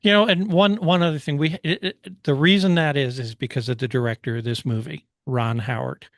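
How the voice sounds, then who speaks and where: A man's voice: on the high side, fairly even intonation, fairly quick, dry recording, clean studio sound. A man co-hosting a podcast, chatting casually and explaining things like a knowledgeable enthusiast. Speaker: podcast co-host. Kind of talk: casual movie review discussion.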